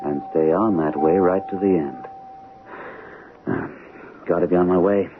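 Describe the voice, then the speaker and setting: Amorous doctor, very low voice, bantering, old-time radio drama, thin broadcast sound. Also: male voice, unhurried, narrow, tinny audio, background noise. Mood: teasing, affectionate. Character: amorous, bantering male hospital doctor engaged to colleague.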